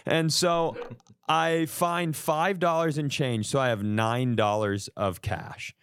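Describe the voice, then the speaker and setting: A man's voice: a little high, steady tone, takes it slowly, a bit bright, clear, resonant tone, no room sound. Man riffing comically, mock-indignant, close dry sound. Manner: mock-indignant, profane, acting out quoted exchanges. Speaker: podcast host telling a personal story. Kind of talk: comic anecdote, casual banter.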